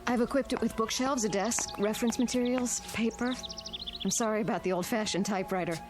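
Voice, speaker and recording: A woman's voice: fairly high pitch, steady tone, a little fast, lightly acted. An actress in a radio drama playing a polite, helpful woman, obliging and matter-of-fact as she settles a newcomer in. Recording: loud music over radio drama dialogue.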